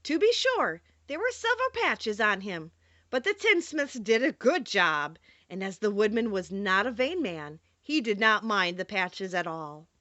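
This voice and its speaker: A woman narrating a children's storybook in an expressive, theatrical read-aloud style. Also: medium pitch, measured pace, no room sound, lo-fi sound. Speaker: audiobook narrator.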